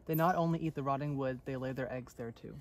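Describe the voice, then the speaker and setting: A man's voice: medium pitch, fairly even intonation, quick pace. A young man reads a children's nature storybook aloud outdoors, recorded from a short distance away. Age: young adult. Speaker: intern reading a storybook aloud. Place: outdoors on a forest trail. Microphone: camera or phone a short distance away. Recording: distant mic, faint outdoor noise.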